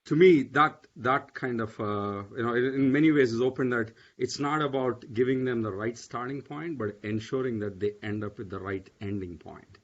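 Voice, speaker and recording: A man's voice: mid-range voice, moderate pace, few pauses. Guy on a panel, lots of uhs and you knows, muffled lo-fi audio. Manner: hesitant, many fillers and restarts. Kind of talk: panel discussion. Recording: dull lo-fi audio.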